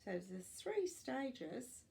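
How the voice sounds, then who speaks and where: An older woman hosting a children's storytime, reading non-fiction aloud with expressive, clear phrasing and explanatory asides for young listeners. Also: on the high side, drawn-out words, a little hiss or hum. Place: small furnished living room, indoors.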